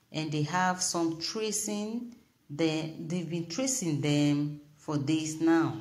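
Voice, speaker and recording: A woman presenting an online news report, reading with pauses, fillers and restarts. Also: on the low side, a little mellow. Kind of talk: read news report with commentary.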